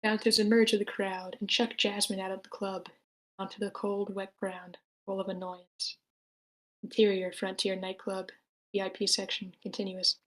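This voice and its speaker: A woman's voice: medium pitch, little pitch movement, normal speed, a bit bright, rough recording. A woman reading stage directions in a matter-of-fact way at a screenplay table read.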